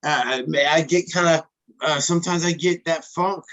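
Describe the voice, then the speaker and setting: A man's voice: on the high side, normal speed, a bit bright. A laid-back man from California chats on a video call, loose and slangy. His talk comes in easy, offhand bursts full of casual swearing. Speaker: host.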